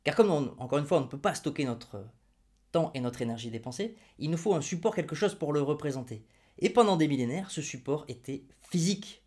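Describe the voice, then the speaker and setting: A man's voice: fairly high pitch, animated, a little fast, a little mellow. A man hosting his own video, explaining money with ironic jabs and rhetorical questions put straight to the viewer. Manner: ironic and mocking, then patiently didactic. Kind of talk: opinion explainer to camera.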